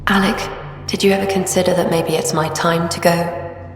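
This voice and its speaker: A woman playing a resigned, gently firm scientist wife. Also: fairly low pitch, little pitch movement, moderate pace, faint music behind, close to an everyday voice. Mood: quiet resigned. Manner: quiet, hesitant, gently firm. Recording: game audio in an echoey space with long reverb.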